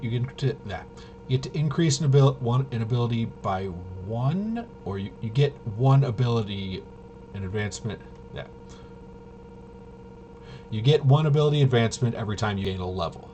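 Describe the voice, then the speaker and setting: Low-voiced man thinking aloud, noisy recording. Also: a little mellow. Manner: halting, rambling, thinking aloud. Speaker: host of a tabletop RPG channel. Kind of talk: casual unscripted rules walkthrough.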